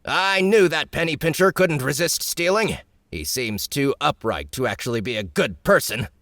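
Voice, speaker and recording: A man voicing a gossipy male guard who gloats excitedly and speaks in a raised, animated voice. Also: on the high side, normal speed, dry recording, studio quality, pitched above the performer's own voice. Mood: gloating.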